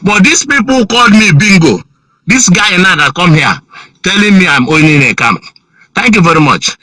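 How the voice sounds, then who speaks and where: A man from northern Nigeria with a Nigerian accent speaks in a high, bright voice. He talks haltingly, full of fillers and restarts. The sound is dry, with no room around it. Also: animated, no echo. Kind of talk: heated call-in debate. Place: lives in Germany and Nigeria.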